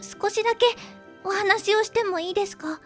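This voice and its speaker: Woman acting a high-voiced, polite young princess. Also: normal speed, faint music behind. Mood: polite, hesitant. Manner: soft, hesitant, formally polite. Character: polite, earnest orphaned young princess, timid but bright. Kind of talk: Japanese audio drama acting.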